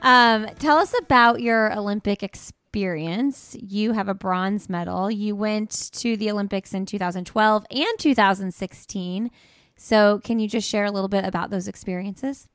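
A woman's voice: medium pitch, moderate pace, fairly bright tone, smooth, no echo, studio quality, soft background music. Chatty woman podcast host. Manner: friendly, asks questions with fillers. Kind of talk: podcast interview and ad read.

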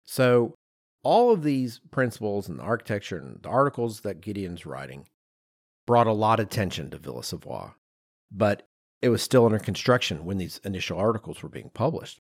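A man hosting a podcast, explaining design history in an informal, conversational, animated storytelling way with acted-out asides. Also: on the low side, fairly quick, no room sound, studio quality. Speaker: podcast host.

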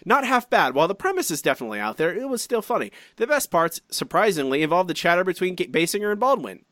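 A man co-hosting a podcast, reading out listener comments in chatty, animated fashion with frequent restarts and asides. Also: high voice, fast talker, no room sound, studio quality. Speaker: podcast co-host.

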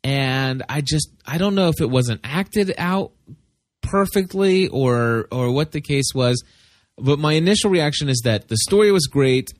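A man's voice: fairly high pitch, animated, measured pace, dry recording. A man leaving recorded feedback, an informal movie review that he thinks through aloud and hedges.

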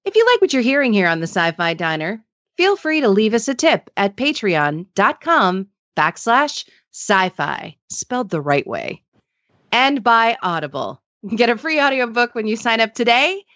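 A female announcer with a fairly high, animated voice reading scripted sponsor messages. The sound is dry and slightly muffled. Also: normal speed, no echo. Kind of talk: scripted promotional read.

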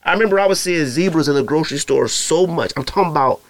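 A man's voice: fairly high pitch, fast talker, no room sound. Slangy man riffing on a podcast. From Arizona. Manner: slangy, rambling, mimics other people with animated asides. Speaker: podcast host. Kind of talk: casual solo podcast talk.

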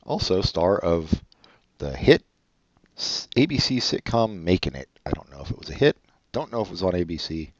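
A man's voice: deep voice, expressive, moderate pace, no echo, dull audio. A man co-hosting a podcast, chatting casually about a movie in a dry, offhand way that often trails off. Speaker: podcast co-host.

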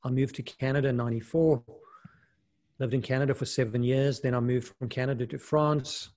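A man's voice: mid-range voice, fairly quick. A middle-aged man who grew up in South Africa talks casually as an interview guest, often restarting his sentences. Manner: relaxed, with frequent restarts and "you know". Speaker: guest, investment fund manager and mountaineer.

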